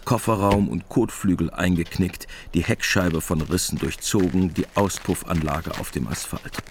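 A man's voice: deep voice, normal speed, a little hiss or hum. A man narrating a literary radio drama in a matter-of-fact storytelling manner.

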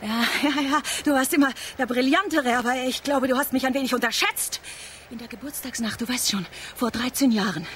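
A woman's voice: sits high, brisk, a bit bright, a little hiss or hum. An actress in a radio drama playing a defiant, embittered, vengeful woman with a sardonic, provocative manner. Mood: bitter, confessional.

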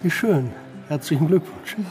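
An actor plays a witty, urbane male professor in a radio crime drama. He talks with dry irony, and soft music sits faintly behind him. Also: on the high side, normal speed, natural voice. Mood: dry, amused.

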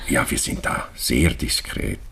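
A discreet Swiss banker in a radio crime play. His voice is extremely low and full of creak, with a husky grain. He speaks formally and hesitantly, courteous to the point of fawning, always evasive. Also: animated, measured pace, pushed and bright, exaggerated voice. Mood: apologetic, discreet. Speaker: bank official. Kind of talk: radio crime drama dialogue.

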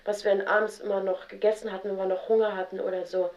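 A young woman telling about her childhood in a halting, spontaneous way, often with fillers and restarts. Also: mid-range voice, level tone, a little mellow. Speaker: interviewee. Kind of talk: spontaneous personal account. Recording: roomy, dull 1970s radio tape.

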